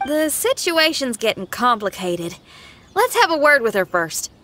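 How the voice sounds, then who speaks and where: A woman's voice: on the high side, big pitch swings, unhurried, sharp tone, soft background music, exaggerated voice. An actress playing a spirited, friendly young female street musician in a video game, earnest and folksy. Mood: concerned determined.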